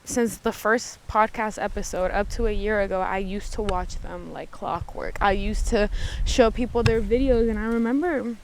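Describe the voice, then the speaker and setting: A woman speaks in a medium-pitched voice, like a podcaster talking casually on her own. She is candid and conversational, and she swears freely. A faint ambient rumble of noise sits behind her. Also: steady tone, moderate pace. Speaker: podcast host. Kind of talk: casual solo commentary. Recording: noisy background, vehicle-like ambient rumble.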